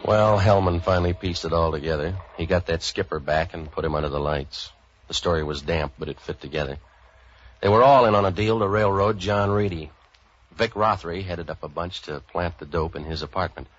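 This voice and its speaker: Cynical American hard-boiled hero, very low voice, deadpan narration, dull vintage radio sound. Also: male voice, a little fast. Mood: wry, matter-of-fact. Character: street-wise, cynical male boat renter for hire. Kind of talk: 1940s radio detective drama narration and acting. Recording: dull 1940s radio recording.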